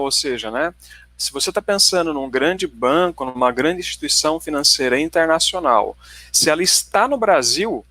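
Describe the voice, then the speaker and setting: A man's voice: fairly high pitch, pushed and bright, no room sound. A man in his forties with a Paraná Brazilian accent, giving a conversational company presentation in a tech webinar and often checking in with his listeners. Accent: Paraná Brazilian Portuguese. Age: 40s.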